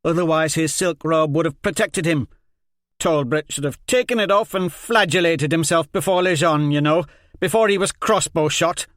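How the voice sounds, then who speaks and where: A man's voice: a little high, steady tone, a little slow, hardly any pauses, no echo, studio quality, pitched above the performer's own voice, close to an everyday voice. A man reading a story, voicing a hot-tempered, pious teenage girl who scolds and threatens. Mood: angry. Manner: heated, scolding.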